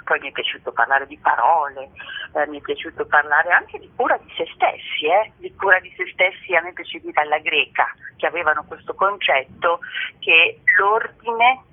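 Through a narrow, tinny telephone line comes a woman with a low, husky voice. She explains her ideas reflectively and didactically, as an articulate guest in a radio interview. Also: animated, normal speed. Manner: articulate, didactic, uses rhetorical tag questions.

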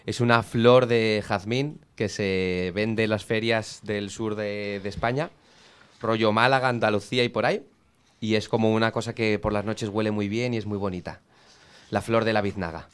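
A man's voice: on the low side, steady tone, moderate pace, clean tone. A young man from Madrid, an easygoing band member telling stories and joking in a radio interview. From Madrid, Spain. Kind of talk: casual radio interview chat.

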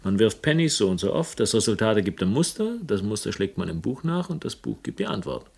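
A world-weary male investigator for radio drama. His voice sits fairly low and his delivery is sardonic and philosophical, with a flirtatious, teasing edge. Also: very animated, a little fast, creaky, darkish tone, slightly rough, heavily acted. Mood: wry, teasing. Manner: sardonic, literary, dryly teasing. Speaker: lead actor and first-person narrator. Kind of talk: German radio play acting and narration.

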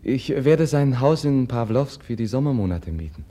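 A mild, earnest young prince, played by an actor in an old radio drama. His voice is dark, soft and husky. He speaks openly and searchingly. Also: mid-range voice, lively intonation, moderate pace, close to an everyday voice. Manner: soft, sincere, searching questions. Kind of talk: 1950s radio drama acting.

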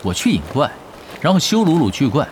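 A man playing a laid-back, confident veteran gamer in an audio drama, with casual, unhurried assurance. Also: fairly high pitch, very animated, slight background noise. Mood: assured. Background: faint music.

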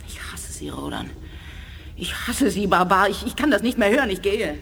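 An imperious, easily outraged alien noblewoman in a 1980s radio play. Her medium-pitched voice is emphatic and scornful, over a noisy background. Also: expressive, moderate pace, a bit bright. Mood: hateful, exasperated. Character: haughty, indignant aristocratic alien woman. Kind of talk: 1980s German radio drama acting.

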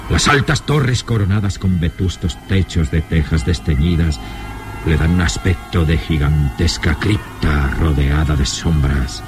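A man narrating a vintage radio drama in a solemn, suspenseful, theatrical style. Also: deep voice.

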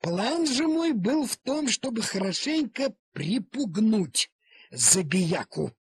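Expressive, high-pitched man telling a story slowly. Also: breathy edge. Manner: theatrical, deliberate, with dramatic pauses. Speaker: storyteller, audiobook reader. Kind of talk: expressive literary narration of an animal story. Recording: cassette transfer of audio play.

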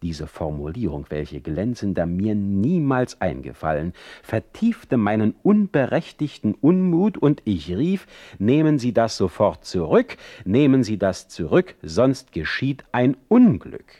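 A man's voice: lowish voice, big pitch swings, measured pace, hardly any pauses, warm tone, dry recording. An actor playing an older, sickly, jealous and eloquent male poet in a radio play, reading out his formal letters with ornate, theatrical emphasis. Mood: indignant.

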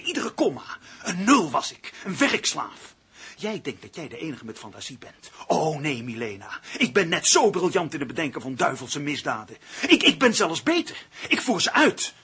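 An embittered, vengeful writer in a radio drama. His fairly high voice swings dramatically between hushed and forceful, in a theatrical, intense way. The recording has a steady layer of noise. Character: bitter, vengeful male writer turned murderous, domineered by partner. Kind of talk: Dutch radio play acting. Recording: noisy old radio play recording.

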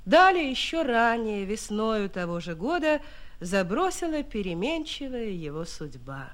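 A woman's voice: medium pitch, sing-song, unhurried. Lady narrator for a radio biography, mid-range voice, storytelling delivery, careful phrasing. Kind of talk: scripted documentary narration, radio drama.

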